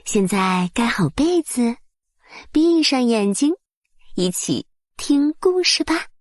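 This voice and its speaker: A woman narrating a bedtime story for small children in a soft, breathy voice, with gentle, soothing and careful phrasing. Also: on the high side, very animated, takes it slowly, dry recording, clean studio sound.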